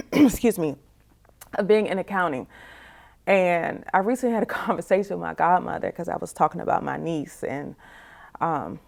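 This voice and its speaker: A woman's voice: sits low, vocal fry. A woman in her forties giving a reflective, candid personal testimony as an interview guest, in a conversational way, often saying "you know". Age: 40s.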